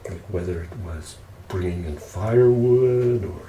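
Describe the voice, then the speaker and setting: A man telling casual ASMR stories in an unhurried, rambling way. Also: sits low, dark tone. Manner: unhurried, rambling family reminiscing. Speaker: ASMR storyteller. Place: indoors, roomy space while preparing food. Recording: roomy echo, faint background noise.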